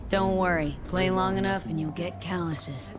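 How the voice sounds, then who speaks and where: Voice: medium pitch, fairly even intonation, normal speed, music in the background, lightly acted. An actor playing a tough, streetwise mercenary in a video game, blunt and slangy yet a kindly mentor. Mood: encouraging, casual. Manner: slangy, blunt, laid-back.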